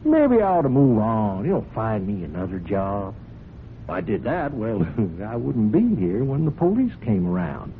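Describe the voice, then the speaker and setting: An actor playing a sly, folksy farm hand turned blackmailer in a radio drama, falsely amiable and insinuating. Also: male voice, fairly low pitch, very animated, normal speed. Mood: mock-sympathetic, threatening. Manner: insinuating, falsely amiable, chuckling, hesitant phrasing. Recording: muffled, noisy old radio broadcast recording.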